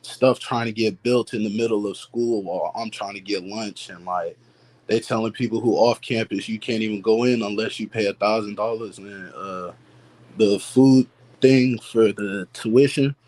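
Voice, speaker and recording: Hesitant young man, lowish voice. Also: steady tone, moderate pace, darkish tone, low-quality recording. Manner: hesitant, many fillers. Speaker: student guest. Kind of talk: casual chat.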